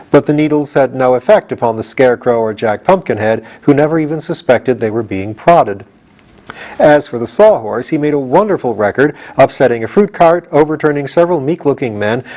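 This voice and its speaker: A man narrating a storybook as an expressive reader and host. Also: mid-range voice.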